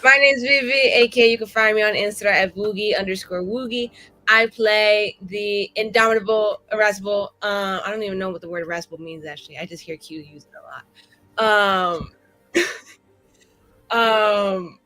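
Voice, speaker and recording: Woman on a ttrpg stream, sharp bright voice, dramatic wry rambling chat. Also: mid-range voice, normal speed, no room sound, low-quality recording. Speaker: player on tabletop roleplaying show. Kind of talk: casual chat.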